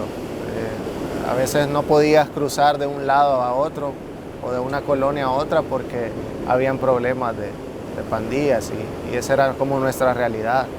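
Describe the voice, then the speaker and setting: With clear background noise, a Salvadoran man of medium pitch answers interview questions. He talks casually and haltingly, in short phrases with pauses. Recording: clear rumbling hum behind the voice.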